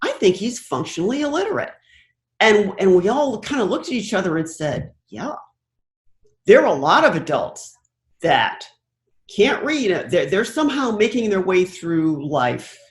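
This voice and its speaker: An older American woman telling anecdotes conversationally as an interview guest, thoughtful and pausing to find her words. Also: mid-range voice, sing-song. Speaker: interview guest, broadcast producer. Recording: roomy, echoing sound.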